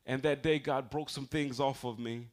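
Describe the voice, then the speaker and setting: A man's voice: mid-range voice, fairly even intonation, normal speed. A man in his forties with an American accent preaching as a pastor, mixing personal testimony with emphatic, conversational appeals for amens. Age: 40s. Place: speaking to a congregation in a church building.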